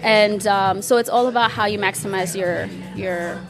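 Relaxed woman with a Dominican accent. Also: mid-range voice, moderate pace, music in the background. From Dominican Republic. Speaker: podcast guest. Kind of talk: casual storytelling. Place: bar.

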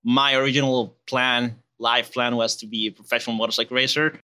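A young man with a mixed Spanish and Venezuelan accent talks in an easy, chatty way. His voice is bright and forceful, sitting at a middle pitch, and he keeps calling people man. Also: fairly quick, clean tone. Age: 20s. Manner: laid-back, relaxed and chatty, calls people man. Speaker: guest. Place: outdoors.

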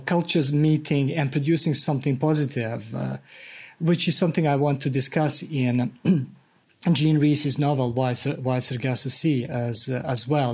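A man from Bosnia hosts a conversational podcast interview, searching for words with frequent pauses. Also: mid-range voice, darkish tone. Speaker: podcast host.

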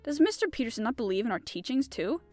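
A woman voicing a bewildered, earnest young male idealist in a storybook, softly puzzled. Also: on the high side, big pitch swings, fast, faint music behind. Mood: dazed. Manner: dazed, soft, puzzled.

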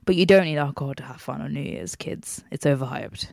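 A woman's voice: deep voice, fairly quick, creaky, no room sound, clean studio sound. Joking young woman co-hosting radio. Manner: animated, joking, mock-indignant. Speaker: radio co-host. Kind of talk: casual radio chat. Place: radio studio. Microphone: studio microphone.

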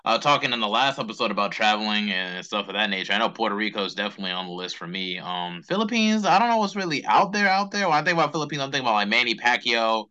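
A man's voice: lowish voice, very animated, fast talker, hardly any pauses, pushed and bright, lo-fi sound. A young man from Charlotte hosting a podcast interview, talking casually and rambling, with lots of "you know" and "and everything". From Charlotte, North Carolina. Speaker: podcast host.

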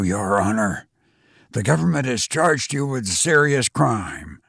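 A man's voice: fairly low pitch, fairly bright tone, no room sound, studio quality, exaggerated voice. A man voicing a confident young male prosecutor, grave and accusing, in formal audiobook oratory.

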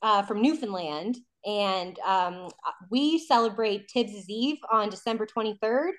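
A woman from Canada with a slight Nova Scotian accent, chatting casually as a podcast host. Her talk rambles along, full of fillers and restarts. Her pitch sits in an ordinary middle range. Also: unhurried, poor audio. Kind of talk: casual chat.

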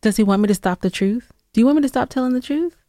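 A woman's voice: on the high side, fast, warm tone, no echo, clean studio sound. A woman co-hosting a podcast in casual banter, sassy and playfully argumentative. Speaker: podcast co-host.

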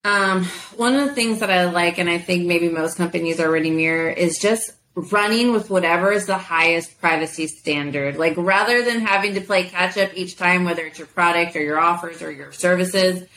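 A woman's voice: fairly low pitch, normal speed, few pauses, fairly bright tone, poor audio. A woman whose first language is Spanish, chatting as a guest in an interview with many fillers and easy laughter. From United States. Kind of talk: casual interview.

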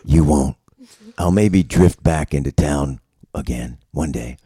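Man playing a deep-voiced, brooding noir drifter. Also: slow, husky, no room sound, studio quality, exaggerated voice. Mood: deadpan. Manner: deadpan, brooding storyteller. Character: mysterious world-weary male noir drifter, earnest, troubled past. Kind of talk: noir web series table read.

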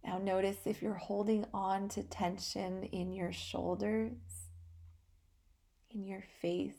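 A woman hosting a guided relaxation meditation, speaking calmly and soothingly in short phrases with long pauses. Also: medium pitch, vocal fry. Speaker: guided meditation podcast host.

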